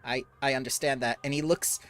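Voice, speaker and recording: A game master narrating a tabletop roleplaying session, animated and laughing often. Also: medium pitch, brisk, no room sound, faint music behind.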